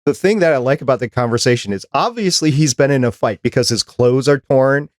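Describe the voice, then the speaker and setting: A man chatting on a podcast in a mid-pitched voice. He thinks out loud and builds long explanations with comparisons. The sound is dry and close. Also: dry recording. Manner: thoughtful, explains at length with comparisons. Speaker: podcast producer and co-host. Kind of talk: casual chat.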